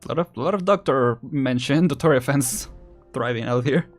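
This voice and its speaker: A young man gives casual live gameplay commentary at a medium pitch. He muses aloud in a hesitant, lightly amused way, with faint game music behind him. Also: moderate pace. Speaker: game streamer.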